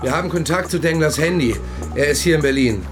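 An actor playing a dogged, sceptical police investigator in a radio play, brisk and to the point. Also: male voice, fairly high pitch, natural voice. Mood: businesslike. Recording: music playing behind the voice.

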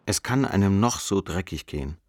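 An actor playing a despairing, sardonic narrator living through depression, speaking an introspective literary monologue in clipped fragments for a radio play. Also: male voice, deep voice, expressive, normal speed, a little mellow, no room sound, studio quality. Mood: bleak despair. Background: music.

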